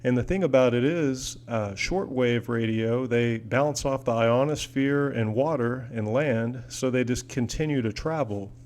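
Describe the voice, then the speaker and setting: A man's voice: medium pitch, a little mellow, a little hiss or hum. An American man chatting casually as a hobbyist vlogger, rambling along in a folksy, enthusiastic manner. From United States. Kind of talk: casual product chat.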